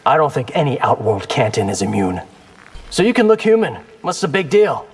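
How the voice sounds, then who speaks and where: An actor playing a cocky, determined young warrior in a video game, casual and wry in delivery. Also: male voice, medium pitch, very animated, a little fast, husky. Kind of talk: video game character dialogue.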